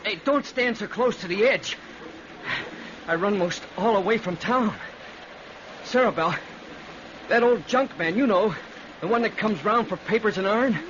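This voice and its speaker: An actor playing an earnest, excitable, kindly rural American lad in an old-time radio drama, speaking in a halting, folksy way. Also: male voice, high-pitched, close to an everyday voice. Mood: urgent. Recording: muffled, noisy vintage radio recording.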